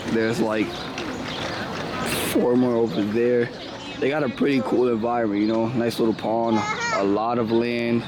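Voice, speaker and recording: Give me a hoarse man, chatting casually to viewers while walking outdoors, with clear background noise. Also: mid-range voice. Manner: laid-back slangy talk to viewers. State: hoarse, losing his voice. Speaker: vlogger. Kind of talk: casual vlog narration. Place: outdoors at a city zoo.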